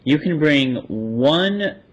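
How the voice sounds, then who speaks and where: A man's voice: a little high, animated, very slow. A man hosting a podcast, casually moderating a panel and putting questions to the guests. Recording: dull lo-fi audio.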